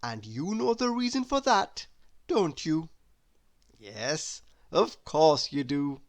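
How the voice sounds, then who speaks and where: A woman reads a children's story aloud as narrator. Her voice sits low, and her pitch moves dramatically for expressive storytelling. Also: slow.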